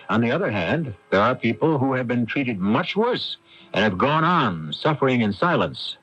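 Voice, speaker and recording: A man hosting a radio mystery, narrating in a deliberate, theatrical, ominous manner. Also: lowish voice, fairly bright tone. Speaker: host. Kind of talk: scripted suspense radio narration. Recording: dull, lo-fi 1980s radio broadcast.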